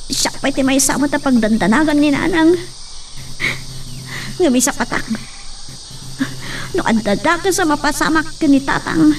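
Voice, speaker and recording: A woman's voice: sits high, moderate pace, long pauses. A woman voicing an anxious young daughter in a radio drama, speaking in an anxious, tearful manner. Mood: worried. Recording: distant mic with faint noise.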